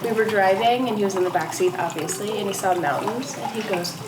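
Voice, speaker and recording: A woman's voice: mid-range voice, fairly quick, very noisy. A woman hosting a family craft vlog, chatting casually in a playful, self-deprecating way. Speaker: host of a parenting craft vlog. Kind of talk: casual chat to camera and family. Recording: roomy echo, loud music behind. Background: music.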